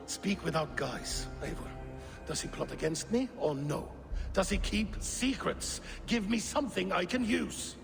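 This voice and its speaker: A gravelly, paranoid Viking king. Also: high voice, a little slow, music in the background. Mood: suspicious. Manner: suspicious, erupting into furious outbursts. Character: volatile paranoid Viking king, grizzled and proud. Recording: game cutscene audio with score, long reverb.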